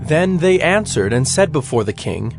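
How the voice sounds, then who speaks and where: A man narrating scripture as a formal, measured storyteller in a dramatized production. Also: fairly high pitch, very animated, creaky. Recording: music bed behind the voice.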